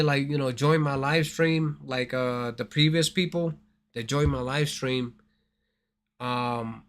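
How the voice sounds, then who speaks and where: A man's voice: on the high side, a bit bright. A man from Thailand hosting a casual gaming livestream, rambling and repeating his words as he talks straight to his viewers.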